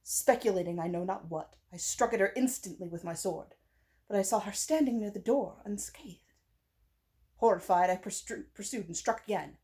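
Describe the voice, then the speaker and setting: A woman's voice: medium pitch, normal speed, mellow. Woman reading a gothic tale dramatically. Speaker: audiobook reader. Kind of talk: dramatic gothic story narration.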